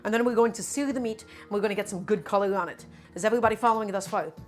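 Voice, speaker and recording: A woman's voice: mid-range voice, rapid-fire, soft background music. A woman whose first language is Russian, hosting a live cooking stream from her home kitchen. She explains each step in a chatty, teaching way. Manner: chatty, instructive, often says "my friends".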